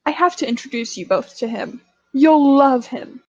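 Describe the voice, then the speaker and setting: Woman as a high-pitched, theatrical eager young man. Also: expressive, dry recording, muffled recording. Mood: delighted. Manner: theatrical, emphatic with pauses.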